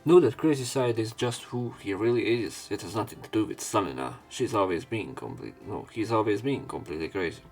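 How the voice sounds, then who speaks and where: A man's voice: lowish voice, animated, brisk, few pauses, darkish tone, soft background music. A young man hosting a let's play, casually commenting and reading narration aloud in a rambling, hesitant way.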